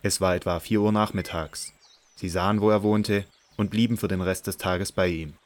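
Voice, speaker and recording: Deep-voiced male scripture narrator. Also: steady tone. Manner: measured, solemn reading.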